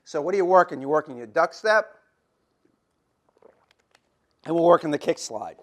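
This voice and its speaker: A man's voice: fairly high pitch, lively intonation, moderate pace. A middle-aged man giving a football coaching clinic, folksy and repetitive, demonstrating drills as he talks while losing his voice.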